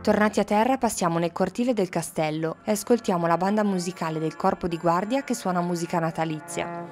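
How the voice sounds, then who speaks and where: A woman's voice: on the low side, fairly quick, over music. A woman, a travel vlogger reading a scripted travel narration. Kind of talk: scripted travel narration, some casual on-location talk.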